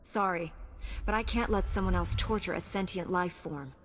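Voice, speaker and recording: A woman's voice: on the low side, normal speed, a little hiss or hum, close to an everyday voice. A woman playing a principled, compassionate young warrior heroine in a video game, composed and earnest. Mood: troubled, firm. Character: principled, compassionate young female Jedi warrior, calm and resolute. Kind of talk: video game character acting.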